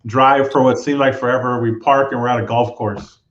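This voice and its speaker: A man from Southern California, a guest telling his background in a relaxed, roundabout way. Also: medium pitch, brisk, echoey room, highs cut off. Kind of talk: casual interview storytelling.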